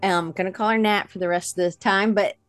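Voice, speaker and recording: A woman's voice: mid-range voice, steady tone, brisk, soft background music. A woman hosting a live online show in an informal, enthusiastic way, chatty and often correcting herself as she goes. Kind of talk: enthusiastic, informal guest introduction.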